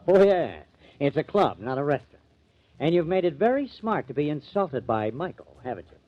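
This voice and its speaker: Glib, animated press agent. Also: male voice, a little high, normal speed. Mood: breezy, boastful. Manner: breezy, glib, self-important. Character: glib, smitten small-time press agent. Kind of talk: 1950s radio drama acting. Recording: dull lo-fi old radio recording.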